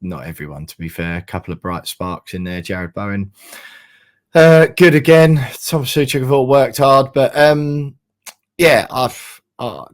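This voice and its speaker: A man with a croaky, hoarse voice, rambling and correcting himself in a casual football podcast chat. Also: medium pitch, lively intonation, unhurried. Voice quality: rambling, self-correcting, frequent "you know". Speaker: podcast co-host.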